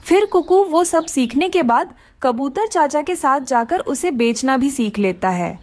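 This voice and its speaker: A woman narrates a children's story from a script. Her voice is high, with very lively rises and falls. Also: unhurried, no echo.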